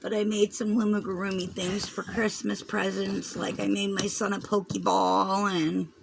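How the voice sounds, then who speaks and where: A hoarse woman with a Southern US accent, rambling through a craft tutorial and often clearing her throat as she fights a cold. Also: medium pitch, flat delivery, normal speed, noisy background. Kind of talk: chatty loom tutorial.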